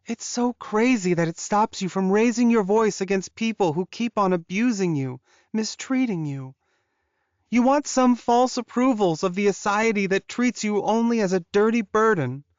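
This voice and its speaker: Casting: male reader as young female werewolf, high voice, earnest and emotional, wounded past, audiobook drama, slightly muffled sound. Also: normal speed, no echo, lightly acted. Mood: anguished. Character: tearful young female werewolf confessing her painful past.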